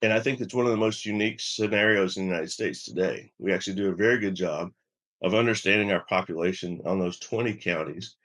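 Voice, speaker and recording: A mature man, a medical executive, answering an interview in a measured, explanatory way, halting and repeating words as he builds his points. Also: sits low, a bit bright. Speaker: health system chief medical officer, interview guest.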